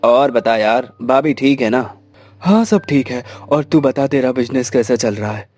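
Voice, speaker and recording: A man's voice: medium pitch, unhurried, a little mellow, faint music behind, close to an everyday voice. A man voicing a friendly, effusive young family friend who loves food, in a cartoon story. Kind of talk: Hindi moral cartoon story acting.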